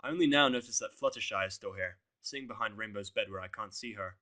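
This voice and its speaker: A man's voice: fairly low pitch, quick pace, bright, forceful tone. A man reading a first-person fan fiction story as narrator, with a blunt, sardonic delivery.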